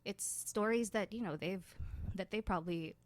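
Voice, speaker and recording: A woman's voice: mid-range voice, moderate pace. A woman talking casually as a guest in an interview. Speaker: guest, radio drama writer and producer.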